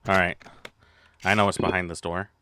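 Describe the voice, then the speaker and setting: A man's voice: low voice, level tone, moderate pace, background noise. A man doing casual live gameplay commentary as a streamer, muttering to himself and repeating phrases when flustered. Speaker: game streamer. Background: game music.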